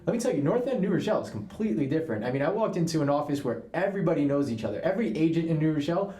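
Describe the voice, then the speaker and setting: A young man from New York co-hosts a casual show and chats with quick, enthusiastic energy. His stories pour out fast. The room gives his voice a roomy echo. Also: fairly high pitch, big pitch swings, dark tone. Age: young adult. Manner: chatty, enthusiastic storyteller. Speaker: co-host, real estate agent. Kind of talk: casual chat.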